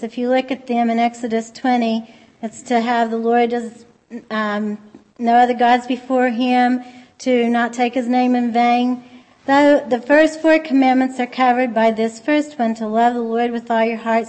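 A soft-spoken woman speaks to a congregation in a gentle, conversational way. Her voice sits a little high, and she now and then stops to restart a thought. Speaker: church speaker. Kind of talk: informal sermon.

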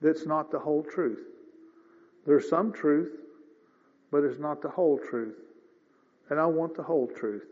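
A man in his fifties preaching a sermon in a folksy, conversational way, often clearing his throat. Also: on the high side, a little slow, long pauses, darkish tone. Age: 50s. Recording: dull lo-fi sound with long reverb.

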